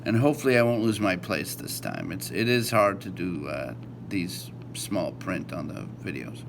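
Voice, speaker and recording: An American man reading aloud informally like a read-along host, halting at times and correcting himself as he goes. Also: low voice, big pitch swings, creaky, background noise.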